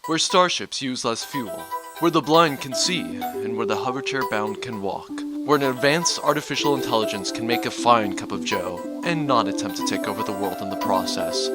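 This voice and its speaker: A man's voice: medium pitch, close to an everyday voice. A man playing an earnest, polished corporate announcer in a parody commercial for a sci-fi future. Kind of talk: scripted parody commercial narration. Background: music.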